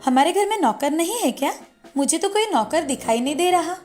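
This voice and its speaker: Woman as a spoiled, high-voiced young bride. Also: lively intonation, fairly quick, a little mellow, soft background music. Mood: entitled. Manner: entitled, demanding. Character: spoiled, demanding young rich daughter-in-law. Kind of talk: animated moral-story dialogue.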